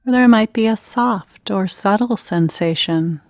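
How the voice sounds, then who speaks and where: A woman gives guided meditation instructions at a slow, measured pace. Her phrasing is gentle and unhurried, broken by pauses, and her mid-pitched voice sits in a dry space with no room echo.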